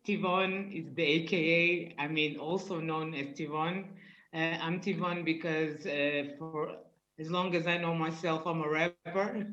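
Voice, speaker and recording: A man, his voice sitting a little high. He chats loosely, laughing often and restarting his phrases. The sound is roomy and dull, like a live event recording in a hall. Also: a bit bright. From Angola. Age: 40s. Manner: chatty, laughs often, frequent restarts and fillers. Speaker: rapper and author guest. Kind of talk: casual self-introduction at a book festival.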